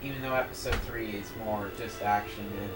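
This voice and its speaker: A man's voice: fairly low pitch, steady tone, a little fast, music in the background. A young man co-hosting a casual movie commentary, joking and hesitant, often stumbling over his words. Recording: distant mic with clear background noise.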